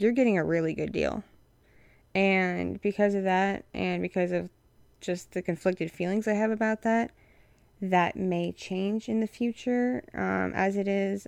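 A young woman with a froggy, hoarse voice from an infection, giving a rambling, frank update to her supporters. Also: medium pitch, a little mellow, no echo. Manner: rambling, frank, many fillers. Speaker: content creator addressing patrons.

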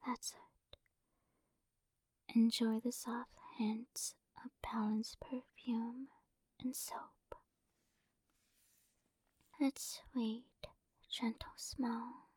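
Soft whispering woman, slow and breathy. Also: a little high, level tone, no room sound. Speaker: ASMR performer. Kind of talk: whispered romantic ASMR roleplay.

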